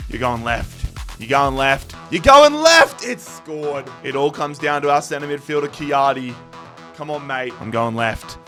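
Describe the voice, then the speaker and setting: A young man, commentating his football game live, shouting at chances, his voice going hoarse. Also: mid-range voice, animated, unhurried, faint music behind. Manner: shouts and groans at every chance. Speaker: gaming YouTuber.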